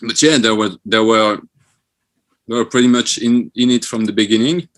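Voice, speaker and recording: A man's voice: lowish voice, moderate pace. A man from France with a French accent, a band member talking casually as an interview guest.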